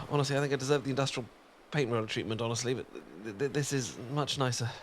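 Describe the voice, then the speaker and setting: A man's voice: medium pitch, fast talker, a little hiss or hum. A British man in an ASMR roleplay, talking in a flustered, stammering, self-deprecating way with nervous laughs and sighs.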